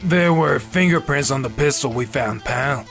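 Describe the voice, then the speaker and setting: An actor playing a clumsy, good-natured male police detective with a gruff, informal manner in a fan audio drama. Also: mid-range voice, moderate pace, a bit bright, soft background music, close to an everyday voice. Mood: eager.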